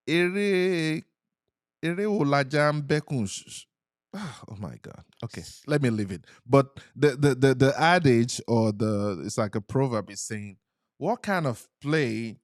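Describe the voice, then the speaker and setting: An animated man, a Yoruba speaker, chats on a relationship podcast. He acts out little quoted voices and laughs between points, at a middling pitch. The sound is dry and close, with no room echo. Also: takes it slowly. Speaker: podcast co-host. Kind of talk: casual chat.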